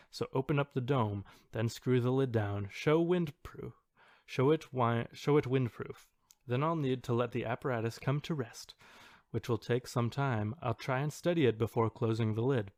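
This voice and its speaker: A man acts out an earnest forum poster who is sure he has a secret power. He states his claims in a matter-of-fact, insistent way at a middling male pitch. The recording is close and dry, like a podcast reading. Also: moderate pace, a little mellow, no echo, close to an everyday voice. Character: self-assured forum poster boasting of telekinetic powers. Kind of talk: dramatic reading of an online post.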